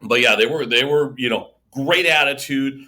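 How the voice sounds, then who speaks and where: A man's voice: mid-range voice, sharp tone. A man co-hosting an insurance podcast, chatting casually and often restarting his sentences. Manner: halting, many restarts and repeated words. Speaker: insurance agent co-host. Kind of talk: casual conversational chat.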